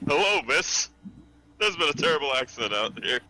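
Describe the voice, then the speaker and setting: A man playing a polite period gentleman in a horror script reading, courteous and earnest. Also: fairly high pitch, big pitch swings, moderate pace, full character voice, low-quality recording. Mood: urgent, courteous.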